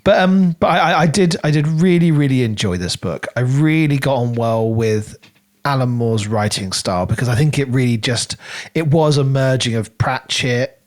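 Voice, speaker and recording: A man's voice: mid-range voice, lively intonation, moderate pace. A middle-aged man co-hosting a podcast, chatting informally and keenly in a casual book review. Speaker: podcast co-host.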